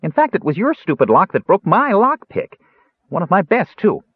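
Man putting on a high, chirpy little chatterbox kender. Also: sing-song, moderate pace, husky, no room sound, narrow, tinny audio, exaggerated voice. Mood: chatty, indignant. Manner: rambling, earnest and guileless. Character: small chatty kender, naive, eager, easily wounded.

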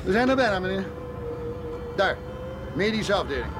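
An actor in a radio play, playing an obedient military police corporal, formal and giving little away. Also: male voice, high voice, sing-song, normal speed. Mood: brisk. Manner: formal, evasive, deferential. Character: obedient, tight-lipped young-sounding military police corporal. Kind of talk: radio drama acting. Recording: noisy radio drama recording, vehicle behind.

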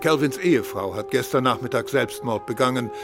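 An actor playing a brisk, authoritative senior police chief in a German radio play. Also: male voice, medium pitch, over music, lightly acted. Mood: matter-of-fact.

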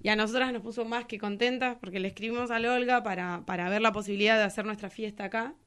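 A woman's voice: medium pitch, steady tone, fast talker, a bit bright, smooth. A woman with a Rioplatense Argentine accent, a musician chatting casually and colloquially as an interview guest. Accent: Rioplatense Argentine Spanish. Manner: colloquial, slangy. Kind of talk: casual radio interview chat.

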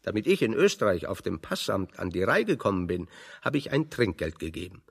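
An actor playing a wry, pedantic intellectual exile in German radio drama, explaining things with irony. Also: male voice, low voice, very animated, fairly quick, creaky, breathy edge, big-room sound, full character voice. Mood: pedantic, ironic. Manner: pedantic, ironic, expository. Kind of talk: German radio play dialogue.

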